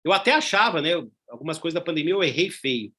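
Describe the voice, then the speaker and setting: Husky-voiced Brazilian man lecturing law. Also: on the high side, very animated, quick pace, pushed and bright. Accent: Brazilian Portuguese. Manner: conversational, frequent tag questions, asides. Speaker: law professor.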